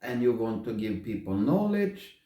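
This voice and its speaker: A man's voice: medium pitch, sing-song, normal speed, dark tone. A man interviewing a guest, asking questions hesitantly and stumbling over a few words. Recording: roomy, distant from the mic.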